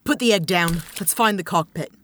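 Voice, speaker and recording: A woman playing a no-nonsense space investigator, brisk, dry and impatient, in a sci-fi audio drama. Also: lowish voice, noisy background, natural voice. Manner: brisk, sardonic, commanding.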